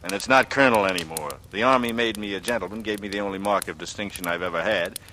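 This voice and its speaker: An actor playing a secretive, coolly sardonic young ex-pilot in a 1940s radio drama, with an American accent and a measured, brooding delivery. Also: male voice, fairly low pitch, bright, forceful tone, slight background noise. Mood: easy, wry. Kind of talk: 1940s radio drama acting, first-person noir narration and dialogue. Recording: vintage radio recording with prominent music.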